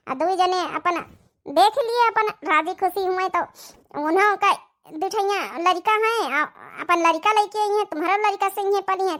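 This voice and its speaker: A woman's voice: very high voice, fairly bright tone. A woman voicing a practical village sister-in-law in a cartoon, giving persuasive advice in an Awadhi accent. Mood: matter-of-fact. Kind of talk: dramatic cartoon dialogue in dialect.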